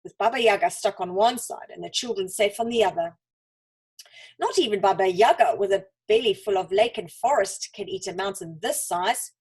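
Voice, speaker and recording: A woman reads a children's story expressively, with dramatic pauses and deliberate emphasis. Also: mid-range voice, a little mellow, slightly rough.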